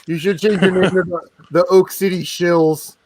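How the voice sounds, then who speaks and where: A man's voice: high-pitched, fairly even intonation, moderate pace, a little mellow, breathy edge, no echo, rough recording. A man chatting casually with friends, laid-back and chuckling, restarting his sentences. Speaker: guest friend. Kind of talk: casual group chat.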